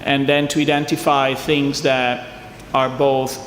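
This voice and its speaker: A man's voice: medium pitch, little pitch movement, measured pace, a bit bright, a little hiss or hum. Italian man talking in an echoey hall. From southern Italy. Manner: chatty, jokes with the audience. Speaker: venture capital investor on a panel. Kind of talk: conference fireside chat. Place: conference hall. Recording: echoey hall, faint ambience.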